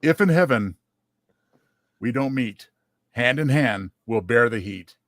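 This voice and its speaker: A man co-hosting a casual chat show, speaking in a measured, polite way. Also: medium pitch, lively intonation, dry recording, studio quality. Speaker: show co-host.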